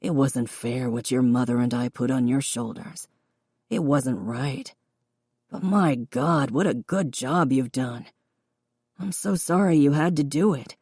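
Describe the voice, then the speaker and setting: A woman voicing a gentle, remorseful older father in an audiobook, quiet and earnest. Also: very deep voice, unhurried, slightly rough, no echo, studio quality, pitched below the performer's own voice, heavily acted. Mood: apologetic. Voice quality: reedy, quiet. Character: remorseful, loving father of grown children, older man. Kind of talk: audiobook character dialogue.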